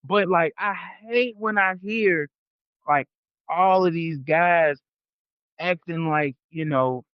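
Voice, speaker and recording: A man's voice: fairly high pitch, slow pace, smooth, no echo. A man in his thirties co-hosting a podcast, ranting bluntly and emphatically with plenty of swearing. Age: 30s. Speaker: podcast co-host. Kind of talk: casual podcast chat. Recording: dull, dry, lo-fi sound.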